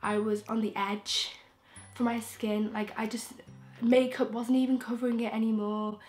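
A young woman from England, croaky from a throat infection, chatting frankly and rambling with restarts as a beauty vlogger in her bedroom. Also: on the high side, level tone, moderate pace, a little mellow, faint music behind. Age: young adult. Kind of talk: casual chatty vlog. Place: bedroom, indoors. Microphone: camera in front, close framing.

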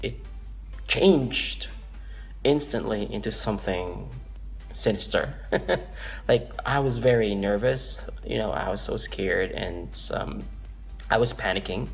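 A man's voice: fairly low pitch, takes it slowly, gravelly, long pauses, soft background music. A man in his thirties from the Philippines earnestly telling a personal story to the camera from an office, rambling with restarts and fillers. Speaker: vlogger. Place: office room indoors.

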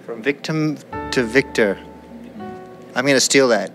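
A man interviews and narrates for a documentary. His voice has a medium male pitch, and faint guitar music plays behind it. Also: a little slow.

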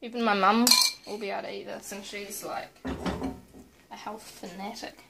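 A woman's voice: mid-range voice, level tone, measured pace, creaky. Chatty young woman in a noisy kitchen. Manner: chatty, playful, self-mocking asides. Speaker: cooking vlog host. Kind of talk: casual cooking demo. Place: small home kitchen at night. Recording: noisy home kitchen recording. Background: loud clatter of pots, cutlery and dishes.

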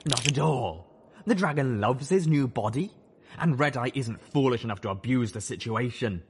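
A man's voice: mid-range voice, animated, moderate pace, noisy background, pitched above the performer's own voice, natural voice. A man voicing a glib, cheerful cyborg pony scientist in an audiobook, breezy and matter-of-fact. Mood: amused, brisk. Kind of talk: audiobook character voice.

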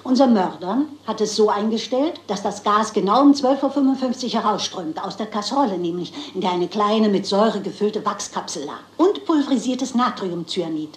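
In a roomy crime radio play, an actress plays a shrewd elderly lady sleuth with a middle-pitched voice. She is unflappable and matter-of-fact, laying out each point precisely. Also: lightly acted.

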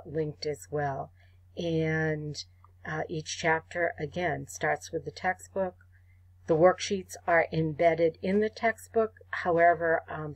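A woman instructor talking students through an online course site, measured and full of ums and uhs. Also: sits low, no room sound. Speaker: college instructor. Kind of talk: screen-recorded course site walkthrough.

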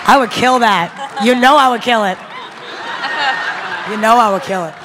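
A woman who is a guest on a panel, brash and comic, dropping quick asides. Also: fairly high pitch. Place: large theater stage. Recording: noisy theater with crowd.

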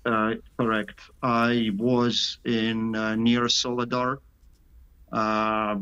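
A low-voiced man speaks slowly and haltingly, stopping often for filler sounds as he answers interview questions. His voice comes through a dull, lo-fi video call from a small indoor room. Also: completely flat delivery, a bit bright, clean tone. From Canada. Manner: halting, many "uh" pauses, slight non-native grammar. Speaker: sniper interviewed as guest.

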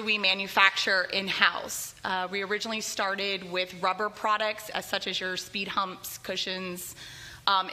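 A young woman from Florida giving a vendor presentation at a community board meeting, speaking at a stand microphone with frequent fillers. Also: pushed and bright. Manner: clear, upbeat pitch with frequent um fillers. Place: meeting room, seated at a table.

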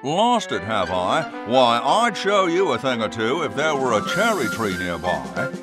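Pompous colonial gentleman, swooping pitch. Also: sing-song, a little slow. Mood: boastful. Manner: pompous, boastful. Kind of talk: cartoon game voice acting. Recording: music behind the voice.

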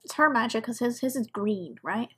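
A woman's voice: fairly high pitch, normal speed, fairly bright tone, slightly rough. A woman giving casual reaction commentary as she watches.

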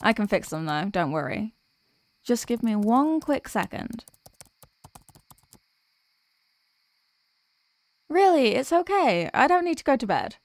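A woman playing a sweet, sleepy workaholic girlfriend in an ASMR audio roleplay, speaking softly and hesitantly with affection. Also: on the high side, big pitch swings, moderate pace, no room sound. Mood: flustered, reluctant.

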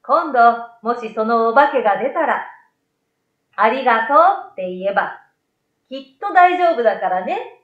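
Caring storybook mother, acted by a woman, motherly and tender, higher voice, roomy sound. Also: animated, normal speed, dull audio, natural voice. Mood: gentle, explaining. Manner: motherly, gentle explaining.

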